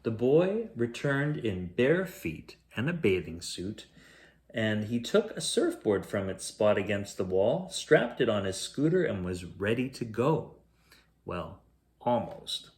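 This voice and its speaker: A man reading a children's picture book aloud as a bedtime storyteller, in a measured, expressive way. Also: lowish voice. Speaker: bedtime story reader, host.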